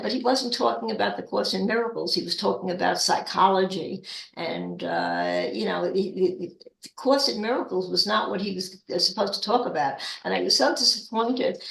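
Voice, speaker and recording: Older woman, gravelly and reflective. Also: mid-range voice, fairly bright tone, reverberant, low-quality recording. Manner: halting, reflective, many restarts. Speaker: group member sharing reflections. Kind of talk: casual spiritual discussion. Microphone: online video call.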